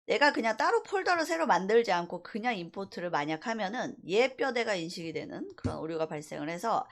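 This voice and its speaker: Casting: female instructor, mid-pitched and animated, informal software walkthrough, explanatory delivery that leans on key points. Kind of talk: software tutorial lecture.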